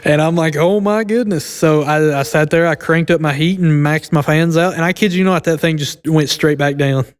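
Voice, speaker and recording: A man's voice: fairly high pitch, normal speed, few pauses, clean tone. A young adult man co-hosting a podcast, telling a story casually in an easygoing, chuckly way with folksy slang.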